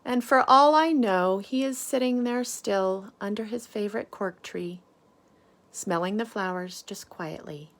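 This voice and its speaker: A woman teacher reading a picture book aloud to children, with deliberate dramatic emphasis and questions for the listeners. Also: medium pitch.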